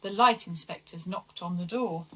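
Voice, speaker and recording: A woman reading a children's storybook aloud with expressive, deliberate, dramatic emphasis. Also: on the low side, rough recording. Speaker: story reader for schoolchildren.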